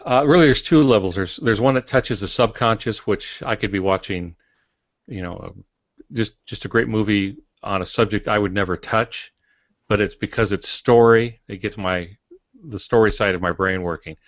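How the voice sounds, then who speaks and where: A middle-aged man with a low voice talks casually on a writing podcast. He explains things in a measured way with dry humor, and the recording is a little dull. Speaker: podcast panelist, fiction writer. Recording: dull audio in echoey room.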